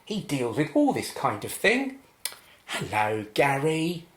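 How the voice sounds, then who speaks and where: Radio drama receptionist, played by a woman: young British female, flustered, unhurried, swooping intonation, roomy sound. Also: low voice, big pitch swings, full character voice. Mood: polite, chatty. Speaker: actor. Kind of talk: radio play acting.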